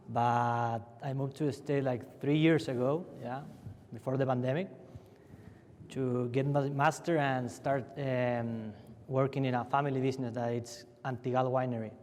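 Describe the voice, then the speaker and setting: A man's voice: medium pitch, a little mellow, a little hiss or hum. A young man from Chile with a Chilean Spanish accent, speaking as a winery panelist in a loose, chatty way full of fillers and restarts. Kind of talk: conference fireside chat. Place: large conference hall. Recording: long hall reverb.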